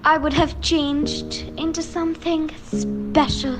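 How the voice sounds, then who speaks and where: A woman's voice: high voice, level tone, slow. A woman playing a vain, gracious vampire countess with theatrical airs in a radio play. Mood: sweetly sinister. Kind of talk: amateur radio play acting. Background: music.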